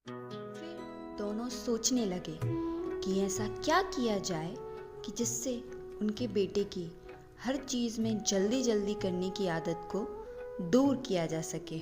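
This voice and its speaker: A woman narrating a scripted story for children as its storyteller. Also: mid-range voice.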